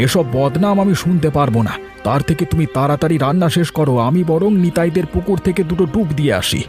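A man's voice: on the high side, fast, darkish tone, soft background music, natural voice. A man voicing a short-tempered, nagging farmer husband in a cartoon. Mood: annoyed, scolding. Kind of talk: cartoon voice acting.

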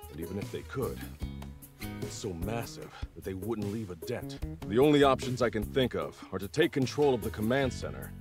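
A man voicing a gruff, distrustful army general in a video game, forceful and quick to anger. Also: on the low side, animated, over music, natural voice. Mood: grim. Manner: forceful, suspicious.

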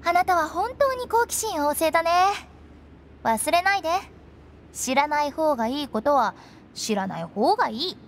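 A woman's voice: sits high, animated, normal speed, a little hiss or hum. A woman voicing a playful, cryptic young female funeral director in a Japanese anime game, with a teasing, theatrical delivery. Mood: knowing, cryptic. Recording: studio voice over background music.

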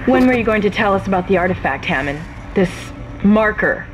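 An actress playing a sharp, suspicious computer specialist on a starship in a video game, brisk and pointed. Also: female voice, mid-range voice, lively intonation, over music, natural voice, rough recording. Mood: accusing. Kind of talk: video game dramatic acting.

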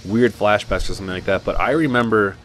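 Deep-voiced man casually narrating gameplay. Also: fast talker. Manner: relaxed, chatty, with fillers and asides. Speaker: game guide narrator. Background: game music and gunfire.

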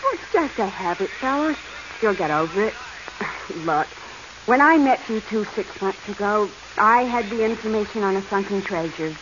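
A woman's voice: mid-range voice, lively intonation, normal speed, fairly bright tone, lightly acted. An actress playing a plain-spoken, independent woman adventurer in a 1940s radio drama, frank, breezy and firm. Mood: firm, weary. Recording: noisy, muffled 1940s radio recording.

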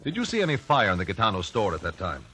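A probing, formal gentleman lawyer in an old radio drama. His lowish voice is crisp and forceful with lively inflection. The vintage recording has faint noise. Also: full character voice. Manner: measured, formal, pressing. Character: probing, composed gentleman lawyer questioning witnesses. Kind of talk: 1950s radio drama acting.

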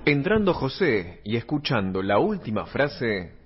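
A man's voice: mid-range voice, big pitch swings, a little slow, highs cut off, noisy background. A man reading scripted narration for a radio play.

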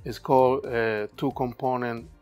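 A man's voice: mid-range voice, steady tone, a little mellow, clean tone, faint music behind. Cuban-accented man musing on his art. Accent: Cuban Spanish. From Cuba. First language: Spanish. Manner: thoughtful, with halting non-native grammar. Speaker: artist. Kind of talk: reflective talk about his art. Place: cluttered indoor studio workshop.

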